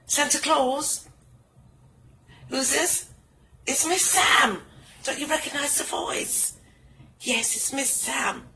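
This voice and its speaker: Slow, brash British Jamaican woman. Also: medium pitch, background noise. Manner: brash, bawdy, playful with patois phrases. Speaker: comedian. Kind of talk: comic one-sided phone call. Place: indoors, small room. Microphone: phone camera close up, wired earphones.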